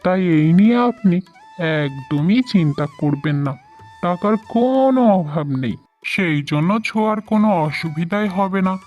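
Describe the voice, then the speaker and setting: A man's voice: high voice, animated, moderate pace, lo-fi sound. Man playing an earnest young cartoon groom, music behind. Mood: eager, reassuring. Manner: earnest, reassuring. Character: wealthy young groom, devoted and reassuring husband. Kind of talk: Bengali moral-tale cartoon voice acting.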